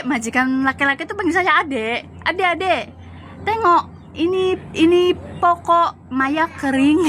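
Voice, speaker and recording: A young Javanese woman recounting her years as a domestic worker abroad in a lively casual chat, laughing often. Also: very high-pitched, a little hiss or hum. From Java, Indonesia. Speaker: former migrant domestic worker.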